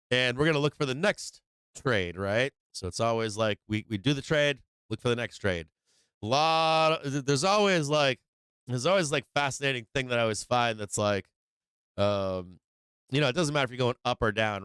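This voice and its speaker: A man's voice: mid-range voice, a bit bright, clean tone, dry recording. A man hosting a live stock market stream, casual and slangy, rambling with many fillers and restarts, saying he is losing his voice.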